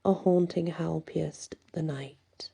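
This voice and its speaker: Woman, ASMR horror storyteller, low pitch, husky, measured pace, hushed dramatic delivery. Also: steady tone. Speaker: storyteller, channel host.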